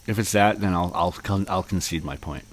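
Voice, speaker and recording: A man co-hosting a comedy podcast, improvising a silly horror story with deadpan running gags. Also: sits low, a little fast, a little hiss or hum. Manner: deadpan, running gag delivery.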